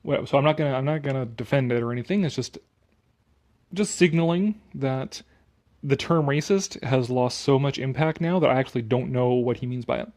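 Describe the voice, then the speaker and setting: A man's voice: medium pitch, a little fast, darkish tone. A man reading an article aloud with casual, sarcastic commentary, blunt and dismissive, prone to swearing. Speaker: online commentator.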